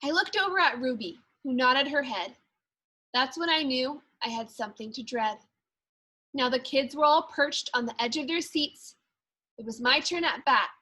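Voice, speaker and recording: Female primary teacher for storybook read-aloud: high-pitched, bright, expressive, deliberate with dramatic emphasis. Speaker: second grade teacher. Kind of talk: expressive children's picture-book read-aloud.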